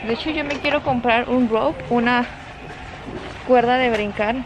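A woman's voice: a little high, measured pace. A young woman chatting casually to her viewers and her children while she shops and films herself on a handheld camera inside a large store. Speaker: vlogger. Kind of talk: casual vlog chat while shopping. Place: large retail store, indoors. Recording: noisy store background.

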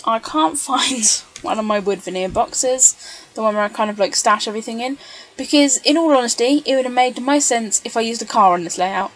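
Female craft vlogger, slightly high voice, casual rambling tutorial narration. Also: rough recording. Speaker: scrapbooker narrating craft video.

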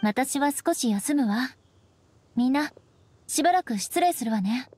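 A woman's voice: sits high, animated, unhurried, a little mellow, a little hiss or hum. An actress playing a poised, refined, coolly caring young noblewoman in a Japanese anime game, with ladylike phrasing. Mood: weary. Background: background game music.

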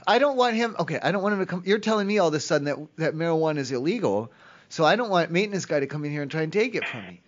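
A man's voice: a little high, lively intonation, racing. A man making an improvised prank phone call, rambling and pleading as if clueless. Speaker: prank caller. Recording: slightly dull, lo-fi call audio.

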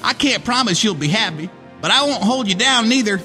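Casting: wry young man, high voice, folksy drawl, idealistic radio-play hero. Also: lively intonation, fairly quick, sharp tone, full character voice. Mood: resolute. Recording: music under radio play dialogue.

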